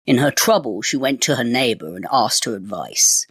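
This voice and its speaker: A man reading a fairy tale as storyteller, in expressive, measured phrases. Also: mid-range voice, breathy edge, no room sound. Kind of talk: scripted storybook narration.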